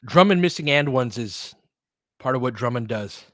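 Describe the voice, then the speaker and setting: A man's voice: mid-range voice, lively intonation, normal speed, a bit bright, no echo, studio quality. A man giving informal live basketball play-by-play and analysis, conversational and openly partisan, like a fan of the home team.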